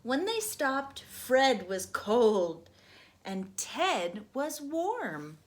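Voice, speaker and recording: Casting: young woman reading to kids, very unhurried, animated, slightly high voice. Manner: slow, gentle, expressive storybook reading. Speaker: storytime host and reader. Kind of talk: children's read-aloud narration.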